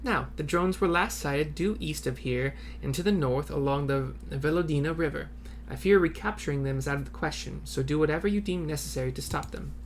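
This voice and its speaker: Man voicing a female game lieutenant, formal, earnest, measured military delivery, raised pitch, faint background noise. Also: close to an everyday voice.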